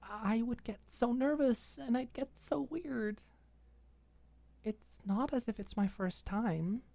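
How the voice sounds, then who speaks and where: A woman voicing a nervous, embarrassed young woman who confides haltingly in an intimate audio drama. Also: fairly high pitch, gravelly, dark tone, no room sound, pitched above the performer's own voice, heavily acted. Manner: halting, self-conscious.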